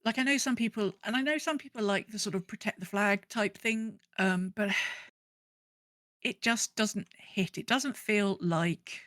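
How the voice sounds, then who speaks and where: A woman's voice: medium pitch, unhurried, fairly bright tone, no echo, studio quality. A woman co-hosting a podcast in casual chat, conversational, repeating phrases for emphasis as she goes. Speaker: podcast co-host. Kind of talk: casual podcast chat about a video game.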